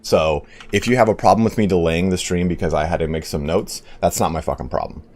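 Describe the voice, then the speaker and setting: A man streaming a game while giving a casual, rambling history talk, hoarse today, with blunt profanity and shout-outs to donors. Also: sits low, quick pace. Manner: rambling, profane, reads donations aloud.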